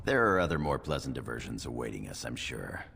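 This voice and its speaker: An actor playing a gruff, loyal dwarf attendant in a fantasy video game, dry and dismissive in manner. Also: male voice, extremely low pitch, very animated, brisk, a bit bright, exaggerated voice. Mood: dry, scornful. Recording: reverberant hall with noisy ambience.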